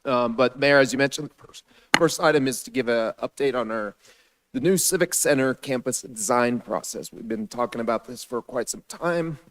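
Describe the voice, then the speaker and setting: An American man with a raspy voice giving a formal, measured and somewhat halting staff presentation at a council meeting. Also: mid-range voice, a bit bright. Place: city council meeting room.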